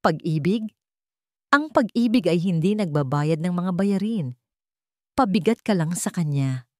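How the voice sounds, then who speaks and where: A woman's voice: fairly low pitch, expressive, a little slow, dark tone, no echo, clean studio sound. Woman voicing a cold, contemptuous old rich patriarch. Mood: cold contempt. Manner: emotionless, cutting delivery. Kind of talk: dramatic audio story dialogue.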